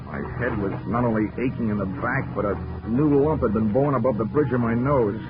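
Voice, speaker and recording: A man's voice: mid-range voice, fairly quick, natural voice. An actor playing a wisecracking male insurance investigator who narrates his own case, in a 1940s radio drama with a dry, wry delivery. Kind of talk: 1940s radio acting, first-person narration. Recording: dull 1940s radio recording with music.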